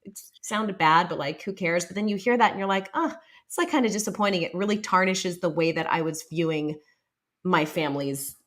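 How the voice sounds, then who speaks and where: A woman's voice: lowish voice, brisk, fairly bright tone. A woman in her thirties hosting a podcast from a home studio, speaking earnestly and reflectively into a studio microphone. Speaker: podcast host. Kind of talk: casual interview chat. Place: home studio room, indoors. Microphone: studio mic on arm, headphones.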